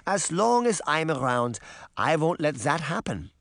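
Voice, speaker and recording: Man voicing a raised-pitch, firm old granny. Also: sing-song, a little slow, fairly bright tone, no echo, studio quality, full character voice. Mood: sad, reassuring. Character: caring, firm old grandmother.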